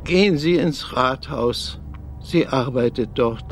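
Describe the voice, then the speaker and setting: Old man, gruff, curt, dragging pace, dismissive, radio crime drama. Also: medium pitch, takes it slowly, very noisy. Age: older. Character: gruff, curt old father of missing woman.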